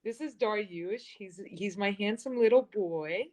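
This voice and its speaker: A woman's voice: medium pitch, measured pace, darkish tone. A woman interviewing in a casual live-stream chat, enthusiastic and laughing often.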